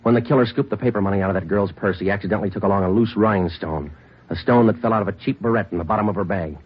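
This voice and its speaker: An actor playing a terse, relentless police sergeant in an old radio procedural, matter-of-fact and deadpan. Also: male voice, fairly low pitch, racing, husky. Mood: pressing, deadpan. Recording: muffled old radio broadcast.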